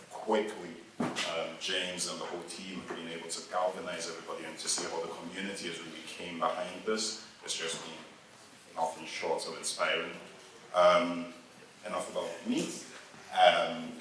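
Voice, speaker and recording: A man's voice: deep voice, sharp tone, a little hiss or hum. A young man hosting a panel, upbeat and encouraging, with frequent ums. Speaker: panel host. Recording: echoey hall, mic far off.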